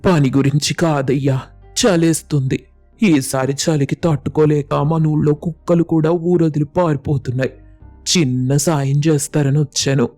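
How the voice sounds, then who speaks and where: A man's voice: on the high side, steady tone, normal speed, darkish tone, no room sound, faint music behind, close to an everyday voice. A man as a humble pleading village labourer. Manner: deferential, pleading. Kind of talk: animated storybook dialogue.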